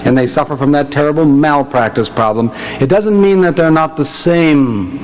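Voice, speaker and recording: A man's voice: fairly high pitch, moderate pace, a little mellow. A man doing comic stage patter as a quartet member, wry and mock-humble, telling jokes to a live audience. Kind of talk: stand-up comic stage banter. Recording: noisy live hall recording, audience present.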